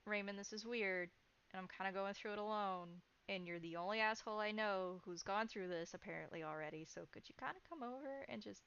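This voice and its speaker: Woman playing a hesitant, lonely woman, slightly creaky. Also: mid-range voice, bright, forceful tone, muffled recording. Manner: tentative, informal, pleading. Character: hesitant lonely woman on the phone, coaxing and confused. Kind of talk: tabletop roleplay in character.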